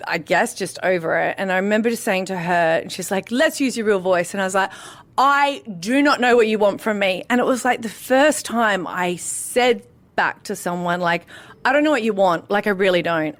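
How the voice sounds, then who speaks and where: Animated woman telling candid stories. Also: medium pitch, normal speed. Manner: animated, acts out remembered dialogue. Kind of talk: candid conversational storytelling.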